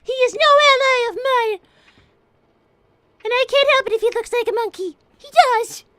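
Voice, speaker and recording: An extremely high, childlike cartoon rabbit girl in live roleplay. She speaks primly and formally, with earnest, scheming self-righteousness that tips easily into indignation. The sound is dry and close. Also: normal speed, bright, forceful tone, no room sound. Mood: defensive, indignant. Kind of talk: improvised live character roleplay.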